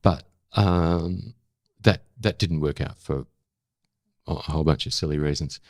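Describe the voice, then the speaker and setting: An Australian man of seventy talking reflectively in an interview, in an unhurried, halting storytelling way with emphatic repetition. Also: very deep voice, darkish tone, no room sound, studio quality. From South Australia. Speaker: interview guest, former broadcaster.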